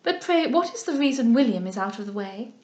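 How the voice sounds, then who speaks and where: A woman reader voicing a poised, genteel hostess with a polite, measured manner. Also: on the high side, very animated, a little mellow, roomy sound, lo-fi sound.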